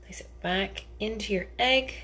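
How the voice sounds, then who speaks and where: A woman's voice: mid-range voice, a little slow, heavy background noise. A woman giving a casual cooking demonstration in a chatty, theatrical, playfully enthusiastic way.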